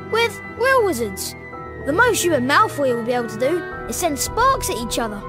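A young schoolboy wizard in a video game, with a very high, animated voice. He is anxious and bossy, a loyal friend who snaps impatiently, over prominent music.

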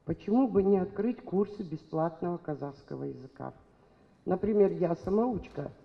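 A woman's voice: fairly low pitch, expressive, moderate pace, vocal fry, a little hiss or hum. Earnest older woman in a hall. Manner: earnest, pleading. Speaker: local resident asking a question. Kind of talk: question from the audience. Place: large public hall. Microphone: boom microphone held out. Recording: dull hall reverb.

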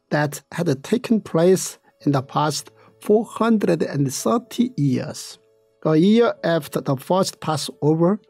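The slow, measured voice on a devotional audio guide, close and dry, over soft music. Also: medium pitch, no echo. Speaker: narrator. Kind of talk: scripted narration of Bible study.

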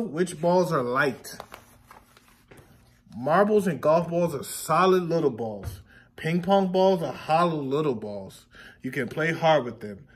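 Slow-paced man reading to children. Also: on the high side. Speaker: teacher reading aloud to young children. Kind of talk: picture book read-aloud with questions to children. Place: indoor classroom.

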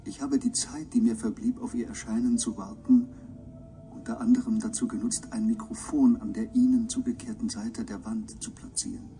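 An actor in a German radio drama playing a ceremonious, wry alien scholar with measured, elaborately polite speech. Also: male voice, medium pitch, sing-song, vocal fry, warm tone, far from the mic, faint music behind. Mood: courteous, wry.

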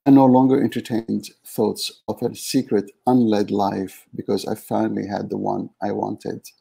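A man in his fifties, from Yemen, reads from his own memoir in a measured, steady way. His voice is gravelly and husky, with a very dark, mellow tone. He speaks over an earbud headset on a video call from a small room at home. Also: fairly low pitch. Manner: measured reading, small restarts. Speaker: author reading from his book. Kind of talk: reading aloud from a memoir.

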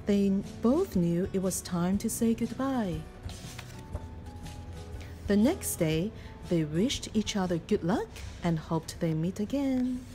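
A woman reading a children's picture book aloud in an expressive, deliberate storytelling manner. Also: mid-range voice, faint music behind. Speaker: storybook reader.

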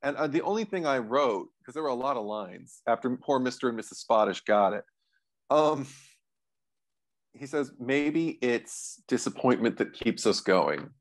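A man in his forties speaks as a co-host in a relaxed, conversational film discussion. His pitch sits in the middle range. He is talking from a room at home over a video call. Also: normal speed. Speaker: podcast co-host discussing horror films.

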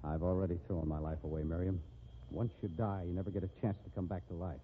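A man's voice: low voice, fairly even intonation, fast talker, close to an everyday voice. An actor playing a bitter, self-pitying ruined man reduced to panhandling, in 1950s radio drama style. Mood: bleak, resigned. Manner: sardonic, self-pitying, weary. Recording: tinny, noisy 1950s radio recording.

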